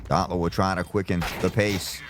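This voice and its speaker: A male esports caster with a slightly sore throat, calling play-by-play and erupting into loud shouts on big plays. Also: deep voice, flat delivery, moderate pace, music in the background.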